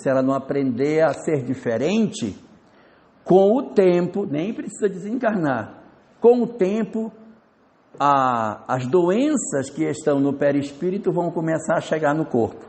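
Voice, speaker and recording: A man giving a spiritist lecture in a lecture hall, telling stories in a lively way and acting out the dialogue. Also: on the high side. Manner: storytelling, lively, acts out dialogue. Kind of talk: religious lecture. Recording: reverberant hall.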